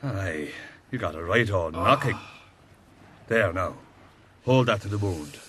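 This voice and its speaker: An actor playing an older, avuncular Irish army captain, blunt and worldly, in a radio drama. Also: male voice, deep voice, lively intonation, unhurried, fairly bright tone, a little hiss or hum. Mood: affectionate.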